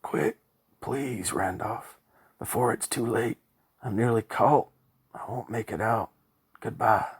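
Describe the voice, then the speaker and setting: A man's voice: on the low side, fairly even intonation, measured pace, slightly rough. A man voicing a terrified man trapped underground, pleading in a panicked, breathless rush. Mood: desperate pleading.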